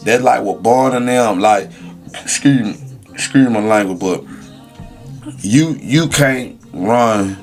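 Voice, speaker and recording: A man's voice: fairly low pitch, slow, pauses often, faint music behind. A man chatting casually on a podcast, informal and emphatic with profane street slang. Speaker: podcast speaker.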